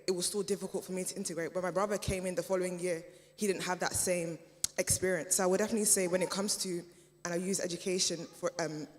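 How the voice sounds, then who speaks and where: A woman whose first language is German, answering on a discussion panel in a measured, hesitant way with many fillers. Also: fairly low pitch. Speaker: panel speaker. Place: church hall event. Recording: reverberant church hall.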